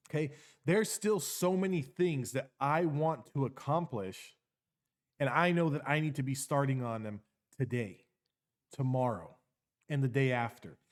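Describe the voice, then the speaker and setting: A man giving an unscripted motivational monologue. He talks emphatically, repeats phrases for effect, tags lines with "okay?" and swears casually. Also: mid-range voice. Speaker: motivational speaker.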